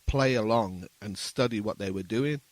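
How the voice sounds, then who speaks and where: A man's voice: lowish voice, moderate pace, no echo, low-quality recording. A man speaking casually and earnestly as an interview guest, modest and reflective.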